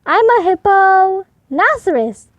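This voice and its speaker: Storybook hippo child, voiced by a woman: male, high-pitched, swooping, curious, stubborn, polite, emphatic. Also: sing-song, takes it slowly, no echo, lo-fi sound, heavily acted. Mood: eager, curious.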